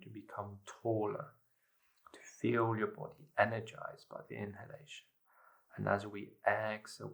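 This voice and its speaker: A man leading a guided meditation, giving measured instructions in short phrases with pauses between them. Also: on the low side, vocal fry, a bit bright, poor audio.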